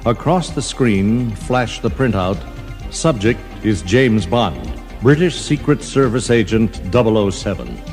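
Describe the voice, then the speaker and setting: A man's voice: lowish voice, sing-song, a little slow, darkish tone. A man narrating a scripted children's adventure story as the storybook's reader. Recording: 1980s storybook cassette with music underneath. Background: background music.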